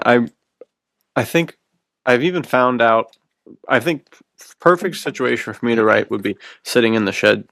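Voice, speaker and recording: A man's voice: mid-range voice, vocal fry, a bit bright, no room sound, studio quality. A man co-hosting a podcast, chatting casually and telling self-deprecating stories in colloquial language. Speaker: podcast co-host and writer.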